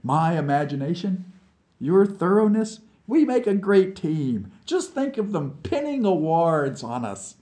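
A man's voice: a little high, wildly swooping pitch, unhurried, few pauses, a little mellow, voice pushed up. A man voicing a breezy, goading Soviet rocket visionary in an audiobook, with an airy, coaxing manner. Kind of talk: dramatized audiobook dialogue.

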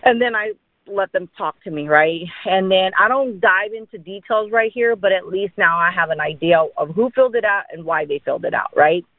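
A woman's voice: medium pitch, moderate pace, dry recording. Lady doing an informal sales training talk, explainy, checks in a lot, dry phone line sound. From born in India, grew up in Dallas, Texas. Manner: explanatory, with frequent check-in tags like "right?" and "okay?". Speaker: insurance agent giving sales training.

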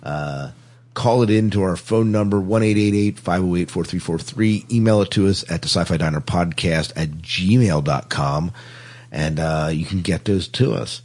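A man hosts a podcast in an informal, conversational way. His voice sits quite low and has a husky edge. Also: moderate pace, a little mellow. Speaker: podcast host. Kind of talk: casual podcast wrap-up chat.

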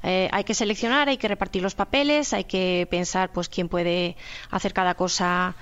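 A woman's voice: fairly low pitch, fairly even intonation, moderate pace, fairly bright tone, clean tone, dull audio. A woman with an accent from Spain, methodically explaining her work as a radio producer in an interview, step by step. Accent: Spanish from Spain.

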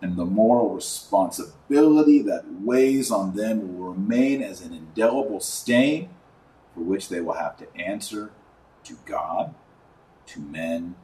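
A man hosting a podcast, giving live commentary and reading aloud in an emphatic, colloquial manner with dramatic pauses. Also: low voice, animated, dark tone. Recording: roomy, distant mic, faint background noise.

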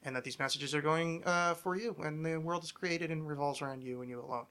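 A man's voice: on the high side, a little fast, bright, forceful tone, clear, resonant tone. A man hosting a casual comedy podcast, rambling along with sarcasm and plenty of swearing. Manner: sarcastic, rambling, foul-mouthed. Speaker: podcast host.

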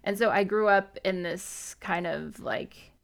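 A woman's voice: medium pitch, measured pace, vocal fry. A middle-aged woman from southern Missouri, co-hosting a podcast and telling candid, reflective stories in casual chat. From southern Missouri, USA. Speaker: podcast co-host.

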